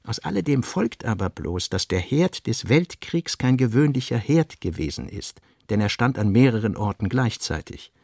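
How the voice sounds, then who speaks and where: A man reading literary prose as a radio play narrator, in a measured, deliberate, essayistic manner. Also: medium pitch, big pitch swings, vocal fry, a little mellow, no echo, studio quality.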